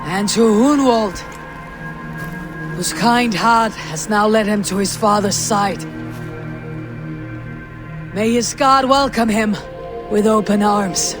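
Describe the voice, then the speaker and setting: A woman voicing a steadfast, solemn Viking warrior in a video game, with grave, measured, formal delivery. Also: medium pitch, lightly acted. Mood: solemn, proud. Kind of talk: dramatic video game acting. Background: music.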